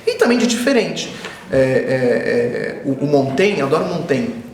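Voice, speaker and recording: A man in his forties, born in Israel and raised in Brazil, speaking as an author in an interview, reflective and often repeating himself, growing animated when he tells stories. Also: on the high side, measured pace, roomy sound, a little hiss or hum. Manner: thoughtful, circling back and repeating phrases, animated when telling stories. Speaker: children's author, interview guest.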